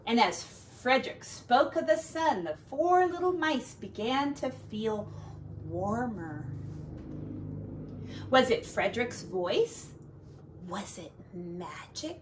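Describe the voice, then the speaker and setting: A woman teacher reads a picture book aloud in a highish, very lively voice. Her phrasing is slow and deliberate, made for children, and she sounds a bit away from the mic. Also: far from the mic, a little hiss or hum. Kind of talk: storybook read-aloud with casual asides on pictures.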